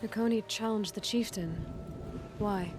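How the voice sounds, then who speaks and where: A woman playing a calm, kind and capable young huntress in a video game cutscene. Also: mid-range voice, unhurried, background noise, close to an everyday voice. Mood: curious. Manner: calm, direct, sympathetic. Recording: music behind the voice.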